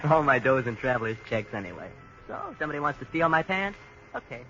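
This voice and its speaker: A cocky young tourist fires off slangy wisecracks in a bright voice that swings up and down. The sound is a dull, lo-fi 1940s radio broadcast. A faint hiss runs underneath. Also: male voice, mid-range voice, sing-song, fairly quick. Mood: swaggering.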